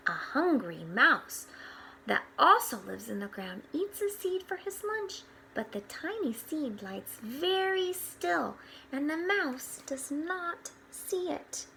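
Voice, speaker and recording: Slow, higher-voiced woman reading a storybook. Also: creaky, slight background noise, rough recording. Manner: expressive, with hushed asides pointing things out. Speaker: storyteller reading a picture book aloud to children. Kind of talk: children's picture-book read-aloud with explanatory asides.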